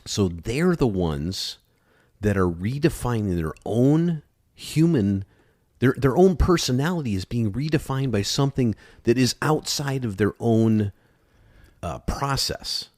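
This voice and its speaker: A man co-hosting a podcast, making his case with drawn-out emphasis and rhetorical questions. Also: medium pitch, lively intonation, a little mellow, dry recording, clean studio sound. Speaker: podcast co-host. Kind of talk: casual debate.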